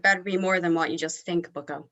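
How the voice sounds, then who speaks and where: A woman's voice: on the low side, fairly even intonation, quick pace, a bit bright, highs cut off. A woman in a comedy table read playing a brash, scornful member of a heavy metal band.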